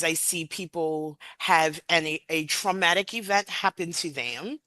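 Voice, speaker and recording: Low, bright-voiced woman hosting a podcast. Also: no room sound. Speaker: podcast host. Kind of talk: podcast interview. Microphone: headset.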